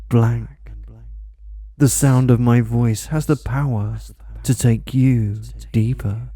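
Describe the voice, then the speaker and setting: A man speaking a slow, dominant, scripted hypnosis monologue with a commanding, repetitive delivery. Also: medium pitch, warm tone, no echo, clean studio sound. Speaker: hypnosis audio creator.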